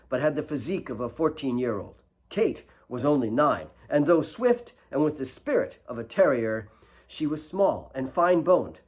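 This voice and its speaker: A man narrating dramatic fiction as its author, in an expressive storytelling manner. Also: mid-range voice, breathy edge. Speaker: author reading own fiction.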